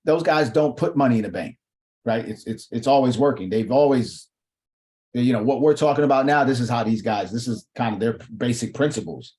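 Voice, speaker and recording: A man's voice: medium pitch, darkish tone. Guy hosting a live q and a, loose slangy talk, cusses a lot, medium voice. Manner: loose and slangy, swears freely. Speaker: host. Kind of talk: casual livestream chat.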